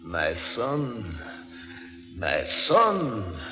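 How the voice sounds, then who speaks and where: An actor playing the ghost of a murdered European father in an old radio drama, with a thick accent and slow, drawn-out, urgent calling. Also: on the low side, wildly swooping pitch, exaggerated voice. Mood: eerie, urgent. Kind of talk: 1940s radio acting. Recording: reverberant, muffled old radio recording with music.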